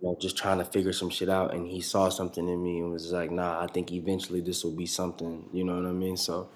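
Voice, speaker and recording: Low, flat-voiced young rapper. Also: male voice, fast talker. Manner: loose, profane, rambling with many fillers. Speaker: rapper guest. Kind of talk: casual interview chat.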